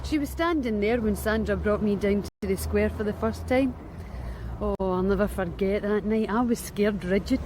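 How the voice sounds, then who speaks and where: An actress playing a blunt, streetwise young street worker with a Scottish accent in a radio drama, wry and matter-of-fact. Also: female voice, medium pitch, normal speed, noisy background, lightly acted. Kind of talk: BBC radio drama acting.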